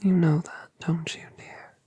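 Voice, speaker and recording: Low, breathy, whispering dragon mom, gentle and cooing; woman actor. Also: steady tone, a little slow, dry recording. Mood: tender. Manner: cooing, affectionate, asks gentle questions. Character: colossal motherly dragoness, doting and gentle. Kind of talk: whispered ASMR roleplay.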